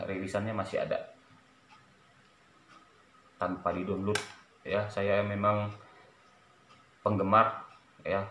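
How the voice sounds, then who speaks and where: A middle-aged man talks in a fairly low voice, casually presenting things as a home vlogger. His delivery is very slow and halting, with short repeated phrases. The room sounds clearly echoey, with some noise behind him. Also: a bit bright. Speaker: vlogger showing a cassette collection. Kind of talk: casual vlog chat, showing items. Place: small room at home, indoors.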